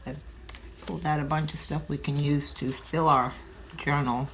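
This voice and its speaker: An older woman hosting a craft tutorial, chatting and thinking aloud as she works, sounding a little stuffy and hoarse from a cold. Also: low voice, fairly even intonation, moderate pace. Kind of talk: relaxed craft demonstration. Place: craft table at home, indoors. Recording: audible crinkling craft noise.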